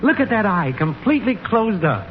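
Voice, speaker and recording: An American man playing an excitable, teasing neighbour in an old-time radio comedy. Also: high voice, a little fast. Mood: enthusiastic. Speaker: supporting sitcom actor. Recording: muffled old radio recording, light noise.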